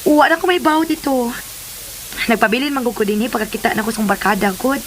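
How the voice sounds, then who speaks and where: An actress as a high-voiced, breezy young Filipino woman. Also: fast, a bit bright, a little hiss or hum, lightly acted. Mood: casual, polite. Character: breezy, teasing young woman, polite to elders. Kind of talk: Filipino radio drama acting.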